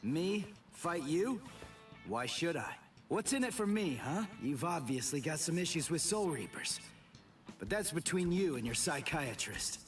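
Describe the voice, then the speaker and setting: A man's voice: a little high, very animated, a little slow, recorded from a distance, faint music behind. An actor playing a blunt, hot-tempered, sarcastic teenage boy hero in an English anime game dub. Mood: dismissive. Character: brash, hot-tempered teenage substitute soul reaper.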